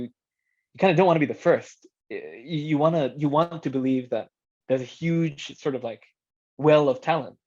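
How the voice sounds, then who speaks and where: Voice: medium pitch, darkish tone. Earnest speaker on a dull, lo-fi line. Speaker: guest speaker, audio drama creator. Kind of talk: casual workshop talk and Q and A.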